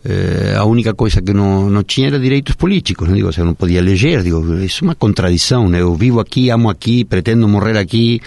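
Man, low and dry. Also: lively intonation, fast talker, gravelly, hardly any pauses, a little mellow, no room sound. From Argentina. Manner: earnest, speaks in long winding sentences. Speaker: radio interview guest, park director. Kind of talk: radio interview.

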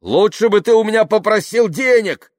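A man's voice: low voice, very animated, a little slow, dry recording, studio quality, full character voice. Man playing a blustering, patronizing businessman. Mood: outraged yelling. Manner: smooth condescension breaking into outraged yelling. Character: blustering, patronizing middling businessman, suspicious and hot-tempered.

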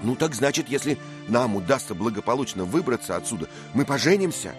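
A man's voice: mid-range voice, animated, fairly quick, music in the background, pitched above the performer's own voice, lightly acted. A man voicing an earnest, brave young squire who speaks with passion in an audiobook. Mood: pleading. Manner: impassioned, emphatic.

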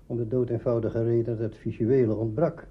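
A man's voice: medium pitch, flat delivery, a little fast, breathy edge. An older man recalling his working past in an informal interview as a writer. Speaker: radio play writer interviewed. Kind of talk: spoken reminiscence. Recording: dull archive interview recording.

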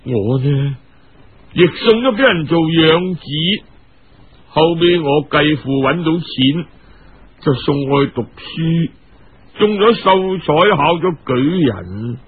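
A man acts out a kindly old Cantonese gentleman in a told story. He speaks slowly and haltingly, with sighs and exclamations. The voice is husky and comes through a dull, lo-fi recording. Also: fairly high pitch, animated, pitched below the performer's own voice, exaggerated voice. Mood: sorrowful, moved. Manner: sighing, halting, stammering, exclamatory. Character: kindly old gentleman, emotional long-lost uncle.

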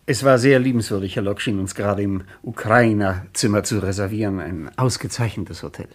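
Male actor as eager businessman, husky, polite, wordy, persistent, radio play dialogue. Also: lowish voice, brisk, lightly acted. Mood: courteous. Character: Richard, eager West German industrial book publisher.

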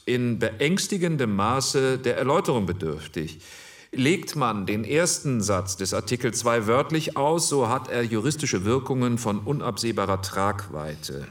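A man's voice: medium pitch, distant mic, lightly acted. An actor playing a formal, precise male jurist in a 1948 committee debate for a radio play.

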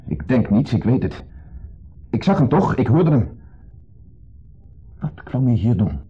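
An actor playing an insecure, jealous husband in a 1970s radio play, accusing, brooding and sardonic. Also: male voice, medium pitch, sing-song, unhurried, husky, heavily acted. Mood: bitter, agitated. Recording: muffled phone-like old radio recording.

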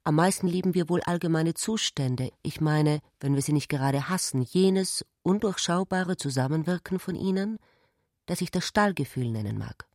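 A woman's voice: low voice, lively intonation, moderate pace, a little mellow, dry recording, studio quality. A woman giving scripted literary narration in a radio play.

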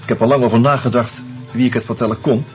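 An actor playing a sociable, teasing screenwriter in a Dutch radio drama, speaking in a conversational, hesitant, confiding way. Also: male voice, mid-range voice, fairly even intonation, quick pace, darkish tone, faint music behind, close to an everyday voice. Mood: earnest, confiding. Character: sociable, teasing screenwriter sharing his suspicions over drinks.

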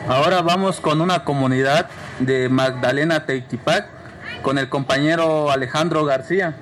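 A man acts as moderator, briskly calling up the next speaker. His voice is slightly high for a man. Clear street and vehicle noise surround him outdoors. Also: a bit bright. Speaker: forum moderator. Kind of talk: announcing speakers.

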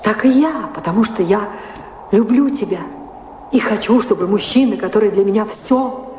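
An actress playing a proud, passionate lady of the house in a radio play, speaking in a measured, theatrical way with pointed questions. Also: mid-range voice, a little mellow, a little hiss or hum, lightly acted. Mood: earnest, impassioned. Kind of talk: radio play stage acting. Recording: echoey hall reverb, music behind.

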